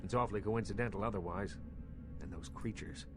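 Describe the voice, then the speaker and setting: A man voicing an imperious, territorial underworld boss in a video game, speaking in formal, measured, eloquent phrases with cool menace. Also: on the low side, lightly acted. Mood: cool, businesslike. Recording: music behind the voice.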